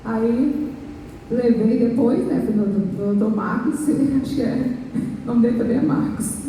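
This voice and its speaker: Hoarse Brazilian woman pastor, earnest halting testimony, heard from afar in a booming hall with faint noise. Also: mellow. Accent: Brazilian Portuguese. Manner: earnest, halting retelling with restarts. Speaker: pastor and worship minister. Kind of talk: church testimony. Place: large church hall. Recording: echoey church hall, distant mic, faint noise.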